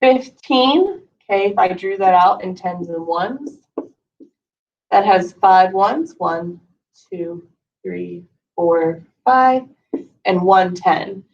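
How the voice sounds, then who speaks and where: Grade-school teacher, woman, slow and encouraging, slightly scratchy voice, dull lo-fi recording. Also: mid-range voice, animated, vocal fry. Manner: slow, patient, encouraging teacher talk with counting aloud. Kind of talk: recorded math lesson for young kids. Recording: lo-fi, voice a bit far from the mic.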